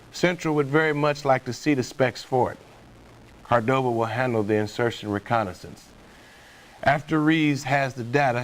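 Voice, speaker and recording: A stern male commander in a game cutscene, played by an actor. He is middle-aged, with a mid-pitched voice, and delivers his briefing in a formal, measured way. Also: natural voice, rough recording.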